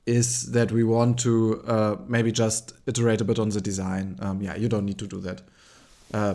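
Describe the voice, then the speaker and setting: A man with a German accent walks through a tutorial in a loose, unscripted way. He thinks aloud as he goes, filling pauses with ums and likes, at a medium pitch. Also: darkish tone. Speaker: tutorial host. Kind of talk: casual screen-share tutorial.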